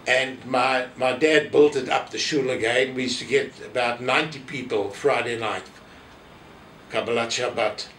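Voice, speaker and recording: An elderly South African man reminisces in an interview, telling anecdotes and acting out remarks. His medium-pitched voice comes from a little way off in an echoey room, with faint noise behind it. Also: fairly even intonation, moderate pace, a bit bright. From South Africa, family from Poland. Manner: anecdotal, re-enacting quoted remarks. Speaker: interviewee, former synagogue office holder. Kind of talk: reminiscing in an oral history interview. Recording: roomy echo, distant mic, faint noise.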